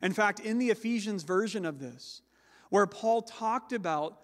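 High-voiced man preaching in a reverberant hall. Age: adult with children. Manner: emphatic, slows down to stress key words; loud, carrying voice. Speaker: pastor. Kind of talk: sermon.

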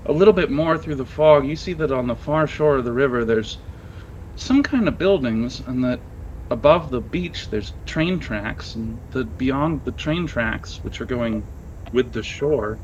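A man's voice: mid-range voice, unhurried, darkish tone, dull audio, a little hiss or hum. A man running a tabletop role-playing game, improvising narration in a casual, conversational way and reacting to his players. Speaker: game master.

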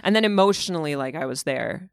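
A young woman from Moscow, a stand-up comic, chatting as a guest with jokes, giggles and halting restarts. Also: low voice, animated, gravelly, no echo, clean studio sound. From Moscow, Russia. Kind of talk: casual interview chat.

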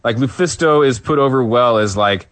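A man's voice: mid-range voice, moderate pace, fairly bright tone, no echo. A man co-hosting a podcast, recapping a wrestling match in casual chat, rambling with fillers and restarts. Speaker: podcast co-host.

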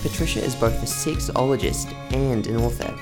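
A podcast announcer reading a scripted introduction in a slow, measured way. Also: medium pitch. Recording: voice-over with guitar music behind. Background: plucked guitar music.

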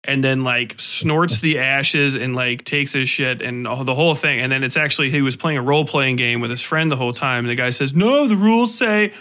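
Bright, animated man hosting a casual podcast. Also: mid-range voice, brisk, hardly any pauses. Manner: animated, profane, acts out sound effects. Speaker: podcast host interviewing a guest. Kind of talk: casual conversational interview.

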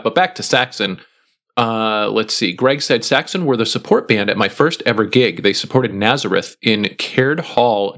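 Podcast co-host, male, lowish voice, easygoing reader who pauses often. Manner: relaxed, many "uh" pauses while reading. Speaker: podcast co-host reading listener feedback. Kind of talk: casual chat, reading comments aloud.

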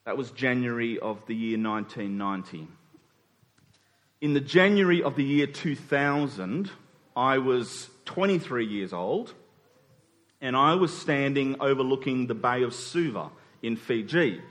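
A man's voice: medium pitch, expressive, fairly bright tone. Australian man preaching in a reverberant hall. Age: 40s. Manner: conversational, reflective, with pauses for emphasis. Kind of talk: sermon with personal storytelling.

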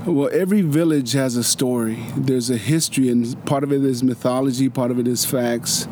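A man's voice: medium pitch, fairly even intonation, a little fast, mellow, slight background noise. A man from Samoa, a guest in a casual interview, explaining things patiently with frequent restarts and a dry humor. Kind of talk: casual storytelling conversation.

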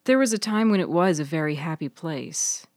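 Lady doing a smirky persuasive woman giving advice in an audiobook, low measured voice, coaxing. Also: lively intonation, a little mellow, no echo, clean studio sound.

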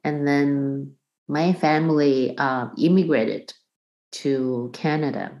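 A woman's voice: sits low, slow, dark tone, muffled recording. A middle-aged woman from Taiwan, a guest on an interview, who explains things thoughtfully with examples and small restarts. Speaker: guest, percussion professor. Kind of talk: conversational interview.

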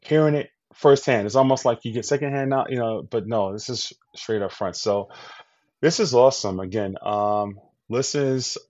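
A man's voice: medium pitch, moderate pace, a little mellow, no room sound. A man co-hosting a podcast in casual chat, enthusiastic and rambling, full of fillers and restarts, from the US East Coast. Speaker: podcast co-host. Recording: dull lo-fi dry sound.

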